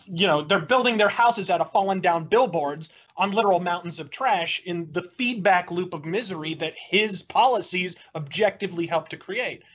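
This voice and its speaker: Man, fairly high voice, political debater, florid opinionated phrasing, dull recording. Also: a bit bright. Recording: dull lo-fi audio.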